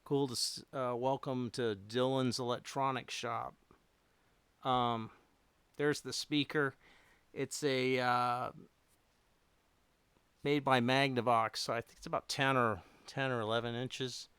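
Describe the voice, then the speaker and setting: A man chatting casually and unscripted as a hobbyist livestream host, rambling a little and thinking aloud in halting phrases. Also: mid-range voice, level tone, fairly bright tone, smooth, no echo. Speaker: radio repair hobbyist hosting a livestream.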